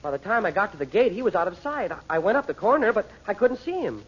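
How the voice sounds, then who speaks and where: A performer playing an eager, impulsive boy in an old radio drama, talking in excited boyish slang. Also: mid-range voice, quick pace, husky. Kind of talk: 1940s radio drama acting. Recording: muffled old radio recording.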